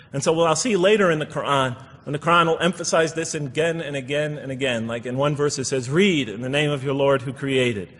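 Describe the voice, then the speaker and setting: A man's voice: a little high, a bit bright. A man giving a public lecture explaining scripture, emphatic and repeating phrases for stress, in a large hall. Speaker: religious lecturer. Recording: echoey hall with long reverb.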